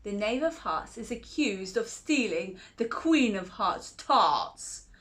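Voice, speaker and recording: A woman voicing a bossy man on a storybook jury, announcing loudly. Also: mid-range voice, sing-song, slow. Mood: pompous.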